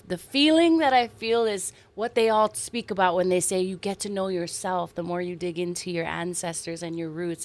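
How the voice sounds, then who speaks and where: A woman from Hawaii in her thirties, a singer-songwriter talking earnestly and animatedly about her music and roots in an interview. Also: on the low side. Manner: warm, earnest, animated storytelling with soft laughs. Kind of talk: casual interview chat.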